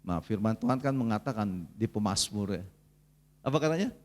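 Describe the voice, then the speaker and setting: A man's voice: fairly low pitch, animated, moderate pace, darkish tone. A man of about seventy, preaching a conversational, folksy sermon and checking in with his congregation. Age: 69, nearly 70.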